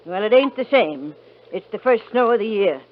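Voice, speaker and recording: A woman's voice: mid-range voice, lively intonation, normal speed, natural voice. An actress playing a worried older ranch mother with homespun, motherly speech in a 1950s radio drama. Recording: muffled vintage radio recording with faint hiss.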